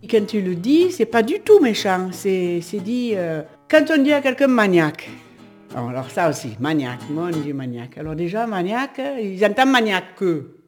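A woman's voice: medium pitch, sing-song, normal speed, a little mellow, soft background music. A woman in a documentary interview who explains local expressions and accents in a reassuring way.

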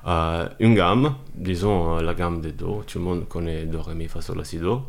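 A man's voice: deep voice, steady tone, normal speed, gravelly. A man whose first language is Italian, a musician guest speaking hesitantly and searching for words in an interview. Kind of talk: casual interview talk.

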